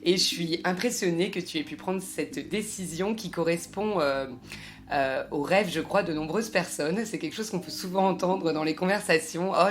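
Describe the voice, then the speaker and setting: A French-speaking woman hosting a podcast, reading a scripted intro and then chatting eagerly with her guest. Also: lowish voice. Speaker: podcast host.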